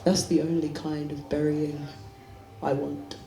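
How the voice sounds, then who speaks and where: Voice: medium pitch, flat delivery, unhurried, gravelly, heavy background noise. A poet voicing a dead young son who pleads with his father, repeating his call to him. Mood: pleading. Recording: roomy hall, mic far off.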